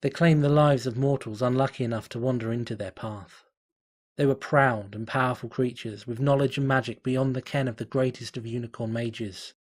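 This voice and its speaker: Husky man narrating a story. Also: mid-range voice. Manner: steady, measured storytelling. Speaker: fanfiction reader.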